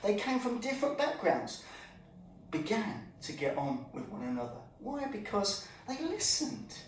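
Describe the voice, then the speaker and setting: A man teaching a Bible story to children in an informal, animated way, with asides and exclamations to his young listeners. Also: high voice, unhurried, a little hiss or hum. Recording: echoey room, distant microphone.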